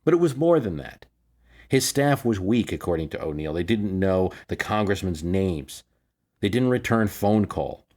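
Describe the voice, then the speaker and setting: A man's voice: sits low, animated, moderate pace, darkish tone. A man hosting a history podcast, talking through political history in a conversational, emphatic way with rhetorical questions.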